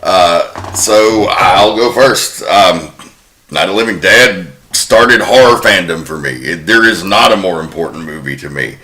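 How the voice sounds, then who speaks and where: A man hosting a podcast in casual chat, telling stories in a lively, profane way. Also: deep voice, a little slow. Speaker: podcast host.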